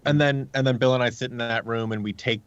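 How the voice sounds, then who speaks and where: A man speaking as a sound designer guest in a casual interview, explaining his work haltingly. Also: medium pitch, steady tone, clean tone, no echo, lo-fi sound.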